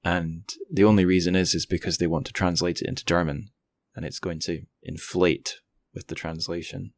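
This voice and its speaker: A man's voice: low-pitched, level tone, moderate pace, gravelly, dry recording. A man chatting casually and informally as a podcast host in an interview. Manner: informal, conversational.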